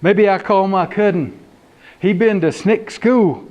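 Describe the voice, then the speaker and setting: A man's voice: high voice, a little slow, a little mellow, voice pushed up. A man voicing a bumbling, easily scared male deputy sheriff in broken, drawling English. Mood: nervous.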